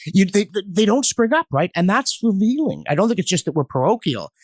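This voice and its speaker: Higher-voiced man, erudite and emphatic. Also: very animated, fairly quick, slightly rough, no room sound, studio quality. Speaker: podcast guest, history commentator. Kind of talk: opinionated intellectual discussion.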